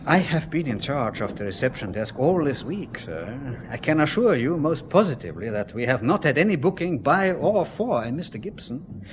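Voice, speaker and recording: Officious high-voiced hotel receptionist. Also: male voice, sing-song, moderate pace, a little hiss or hum. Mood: insistent. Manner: polite, clipped, insistent, deferential. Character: formal, precise, faintly officious foreign hotel receptionist. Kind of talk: radio drama acting.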